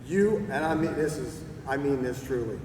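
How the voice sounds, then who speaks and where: A middle-aged man speaks at a ceremony, heartfelt and halting, a little hoarse as he loses his voice. He is far from the mic in a big echoing hall, with steady room noise behind him. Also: medium pitch. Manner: heartfelt, halting, with fillers and throat-clearing. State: losing his voice. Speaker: retiring army staff sergeant giving a speech. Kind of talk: emotional retirement speech. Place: ceremony venue. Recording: echoey distant hall recording with noise.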